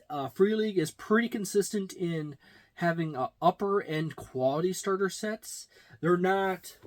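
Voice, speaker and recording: A man in his forties giving a casual, unscripted product review with halting, deliberate phrasing. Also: fairly high pitch, a little mellow. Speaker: tabletop game reviewer. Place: small indoor room lined with shelves of games.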